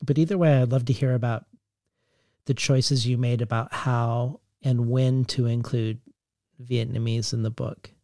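A man interviewing a poet, asking a long, reflective question in a measured, hedging way. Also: medium pitch, fairly even intonation, a little mellow, dry recording, studio quality. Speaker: interviewer, podcast host.

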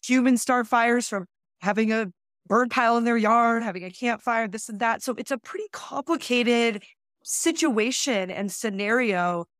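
A woman's voice: a little high, normal speed, fairly bright tone, dry recording, clean studio sound. A woman, a natural resources specialist, explaining fire ecology at a conference panel with plain analogies.

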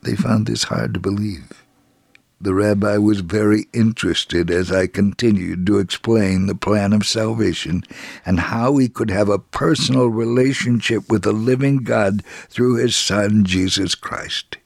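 A man reading a scripted story for radio as narrator, solemn and measured, with a gravelly, husky voice. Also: lowish voice, steady tone, dry recording, clean studio sound.

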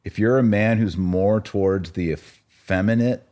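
A man's voice: lowish voice, a little slow, mellow. A man hosting a podcast in a casual, conversational way. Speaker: podcast host.

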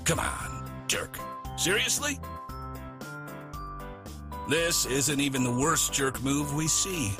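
A man's voice: fairly high pitch, sing-song, slow, long pauses. A man narrating a scripted public-service ad in a scornful, sarcastic tone. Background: music.

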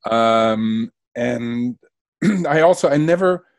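A man's voice: medium pitch, animated, slow, no echo. Hesitant man, dry sound. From Germany. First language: Spanish. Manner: hesitant, with frequent restarts. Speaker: guest. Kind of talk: reflective interview conversation.

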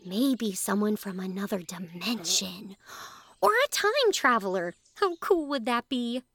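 A woman playing a curious, imaginative young girl in a family audio drama, teasing and excitable. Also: medium pitch, measured pace, a little hiss or hum. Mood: curious, puzzled.

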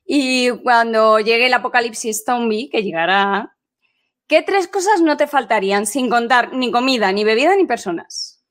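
A woman co-hosts a casual podcast, asking questions. She has an accent from Spain. Her voice is high-pitched. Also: expressive, normal speed, a bit bright.

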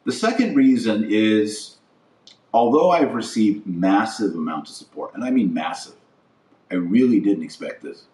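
Low-voiced man in a roomy, distant recording. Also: animated, darkish tone. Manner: emphatic, deliberate, repeats phrases for weight. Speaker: podcast host and speaker. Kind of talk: political-religious monologue to an audience. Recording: roomy echo, distant microphone.

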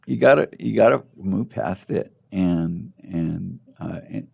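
An older man with a low, gravelly voice talks about his life as a doctor in a relaxed interview. He hesitates often, restarting phrases and leaning on fillers. The sound is muffled and lo-fi. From United States. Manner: halting, many fillers and restarts. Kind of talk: casual conversational interview. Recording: muffled lo-fi audio.

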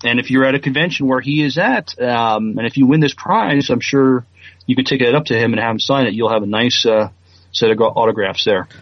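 A man's voice: medium pitch, fairly quick, no room sound. A man co-hosting a podcast in casual chat and reading news, hesitant and full of fillers. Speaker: podcast co-host. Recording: dry, dull lo-fi mic.

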